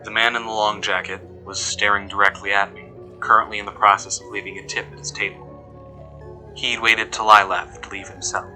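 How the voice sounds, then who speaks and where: A man playing an uneasy investigator who recounts events in measured, deliberate horror narration. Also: lowish voice, little pitch movement, sharp tone, rough recording. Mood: measured unease. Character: uneasy male investigator recounting being followed. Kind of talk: scripted first-person horror narration. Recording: music behind the voice.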